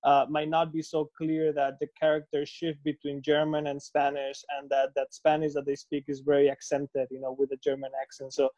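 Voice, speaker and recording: A man's voice: on the high side, completely flat delivery, moderate pace, few pauses, a little mellow. A man in his thirties moderating a casual Q&A as an interviewer over a video call. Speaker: interviewer, film critic moderating. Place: room at home. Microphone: video call on phone or laptop. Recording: dull, echoey video-call audio.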